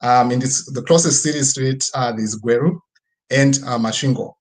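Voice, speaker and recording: A man's voice: medium pitch, normal speed. A man with a Zimbabwean accent giving a personal, motivational online presentation in a conversational way, often checking in with short tag questions. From rural Midlands region of Zimbabwe. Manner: conversational, frequent tag questions seeking agreement. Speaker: guest speaker. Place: online video presentation with screen sharing.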